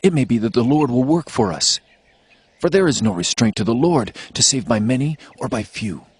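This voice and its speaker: Husky, bold young warrior prince. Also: male voice, mid-range voice, lively intonation, normal speed, no room sound. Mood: resolute. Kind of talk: dramatized audio Bible acting.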